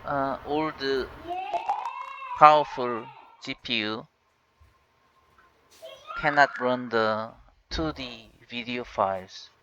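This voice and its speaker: A Korean-native man speaking English in a halting way, with many fillers and restarts, walking viewers through a tech issue. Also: mid-range voice, bright, forceful tone. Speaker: tech channel host. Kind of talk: casual screen-recorded walkthrough. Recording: dull lo-fi voice with faint hiss.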